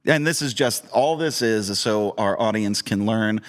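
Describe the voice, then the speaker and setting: A man with a fairly low voice hosts a casual interview podcast. He talks loosely and enthusiastically, like a keen fan chatting with friends. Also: normal speed. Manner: enthusiastic, geeky fan talk. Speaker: podcast host.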